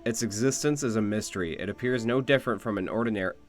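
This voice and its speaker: A man's voice: fairly low pitch, quick pace. A man giving casual live game commentary as a streamer, musing aloud. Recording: music behind the voice.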